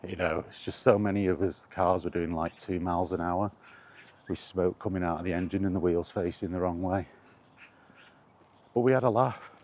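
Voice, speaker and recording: A man's voice: deep voice, moderate pace, a little mellow. A British man chatting casually in a wry, colloquial way, like a solo vlogger rambling through his thoughts. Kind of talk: casual rambling chat about gaming.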